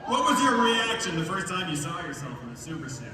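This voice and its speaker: A man's voice: sits high, very animated, fairly quick, very noisy. A man presenting live on stage as host of a convention panel. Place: large convention hall, PA sound. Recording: echoey distant hall, loud music and crowd. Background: loud crowd and music.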